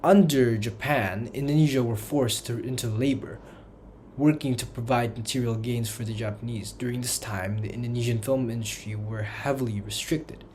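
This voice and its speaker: Guy reading his history script, steady mid voice, careful wording, bit of hiss behind. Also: medium pitch, moderate pace. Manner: reads from a script with careful, textbook phrasing. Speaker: student narrator.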